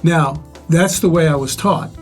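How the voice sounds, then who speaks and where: Older man telling stories, measured. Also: on the high side, soft background music. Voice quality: relatively loud voice. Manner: measured, pausing often with "uh". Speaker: guest sharing life advice. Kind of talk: reflective conversational storytelling.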